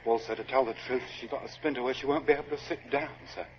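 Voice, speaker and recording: A man's voice: medium pitch, fairly even intonation, fast talker, slight background noise, lightly acted. Man as a humble, folksy ship's steward. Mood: apologetic, earnest. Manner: folksy, apologetic, uneducated speech. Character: humble, earnest, blabbing gunroom steward of low rank. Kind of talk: 1950s radio drama acting. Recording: dull 1950s radio recording.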